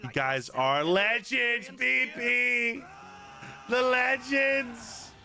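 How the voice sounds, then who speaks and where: Hoarse, punchy male streamer. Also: extremely high pitch, drawn-out words, soft background music. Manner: energetic, rambling, pleading with viewers. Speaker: game streamer. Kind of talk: casual livestream chat.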